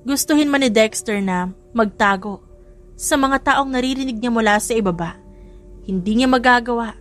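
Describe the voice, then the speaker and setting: A woman's voice: on the high side, normal speed. A woman reading a scripted love story as a dramatic audiobook narrator. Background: music.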